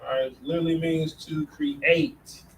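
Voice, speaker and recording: A man's voice: a little high, steady tone, moderate pace. A man teaching a classroom lesson in a casual way, often saying "all right" between points. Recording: distant mic with faint room noise.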